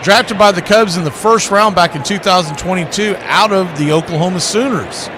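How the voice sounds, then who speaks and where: A high-voiced man hosts a baseball broadcast with play-by-play and folksy asides. He leans on old-time catchphrases like a showman. The audio carries clear noise. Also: moderate pace. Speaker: baseball play-by-play radio announcer and stream host. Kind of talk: baseball play-by-play commentary with chatty asides to viewers.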